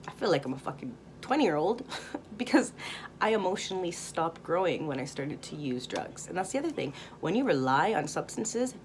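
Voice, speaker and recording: A woman in her late thirties talking candidly in a rambling spiritual vlog monologue, with many pauses and fillers and some swearing. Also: sits low, a little hiss or hum. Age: 30s. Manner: rambling, candid, frequent ums and profanity. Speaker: spiritual vlogger.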